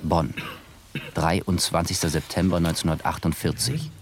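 A man's voice: sits low, steady tone, normal speed, slight background noise. A man reading terse scripted announcements as the announcer of a documentary radio piece.

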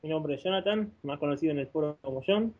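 A man from Argentina, speaking slowly and haltingly as a podcast guest. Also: a little high, a little mellow. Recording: tinny phone-like call audio.

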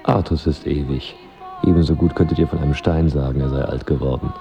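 A very deep, dark male voice plays a skeptical, chivalrous knight. His delivery is formal and deadpan, as in a literary radio drama, with soft music behind. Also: fairly quick, gravelly. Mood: solemn, self-abasing.

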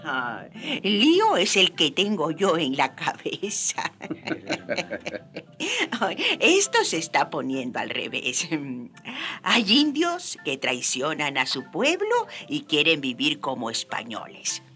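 A woman's voice: low voice, huge pitch swings, slow, sharp tone, faint music behind, full character voice. An actress playing a poor, wry, sceptical but hospitable peasant woman in a radio drama, with a hesitant, folksy, teasing manner. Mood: amused, puzzled.

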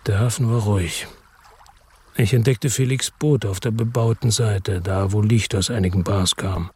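An actor playing an embittered, defiant noir hero in a German radio drama, speaking in a terse, grim, weary way. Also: male voice, fairly low pitch, steady tone, moderate pace, darkish tone, slightly rough. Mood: brooding narration. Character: embittered, defiant noir hero telling his own story. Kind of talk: German radio drama acting and first-person narration.

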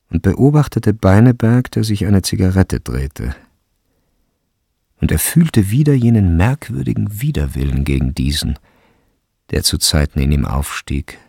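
Deep, gravelly male narrator. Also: very animated, a little mellow, no echo, exaggerated voice. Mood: measured, observant. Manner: measured, deliberate storytelling. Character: third-person narrator of a turn-of-the-century school story. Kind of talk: literary radio drama narration. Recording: studio with music behind. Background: instrumental music.

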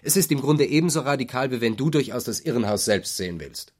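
An actor playing a brooding, self-justifying husband and blocked artist in a radio drama, earnest and pontificating. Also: male voice, medium pitch, expressive, fast talker, natural voice. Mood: earnest self-justification.

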